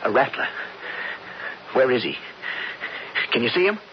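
An actor playing a sly young ranch hand with an American Western accent and folksy cowboy talk, in a radio drama. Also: male voice, mid-range voice, big pitch swings, a little slow. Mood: alarmed. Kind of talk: 1970s radio drama acting. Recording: noisy, dull old radio broadcast.

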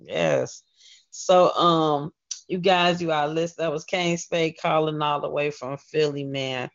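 A woman's voice: sits low, steady tone, measured pace, vocal fry. Lady hosting a radio show, super effusive n slangy, kinda muffled lo-fi sound.

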